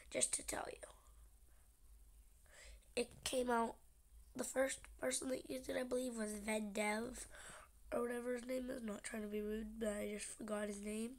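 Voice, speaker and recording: A young gamer with a stuffy, congested nose, chatting casually and often half-whispering while playing a game. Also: female voice, a little high, gravelly. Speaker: gaming channel host. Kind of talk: casual gameplay commentary.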